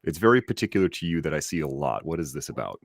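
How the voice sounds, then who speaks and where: A man with a very low, deep voice hosts a live webinar in an upbeat, chatty way, talking directly to the audience. The sound is dry and close, as if from a desk mic on a video call. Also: big pitch swings, very fast, vocal fry, dry recording. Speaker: host and trainer.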